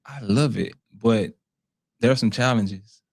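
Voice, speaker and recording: A man's voice: mid-range voice, unhurried, darkish tone, no echo. Earnest American man, dry close sound. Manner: earnest, slangy, frequently says bro. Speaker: interview guest, content creator. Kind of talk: casual conversational interview.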